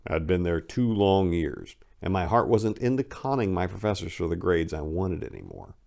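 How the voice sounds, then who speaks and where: A man's voice: low-pitched, big pitch swings, moderate pace, vocal fry, a little mellow. An American man giving a dramatic reading of a comic first-person memoir in a wry, self-deprecating, conversational way. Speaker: memoir narrator.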